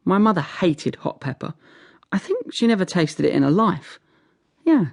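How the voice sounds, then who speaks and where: An actor playing a naive boy narrator in a radio drama, recalling his Communist-era childhood in a deadpan, matter-of-fact way. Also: sits high, normal speed, gravelly, heavily acted. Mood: wry, deadpan.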